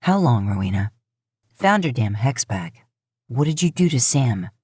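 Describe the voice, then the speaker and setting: A woman voicing a gruff, terse man, a protective brother in a fan fiction audiobook. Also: very deep voice, very animated, a little slow, darkish tone, no echo, studio quality, pitched below the performer's own voice, heavily acted. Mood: angry, demanding.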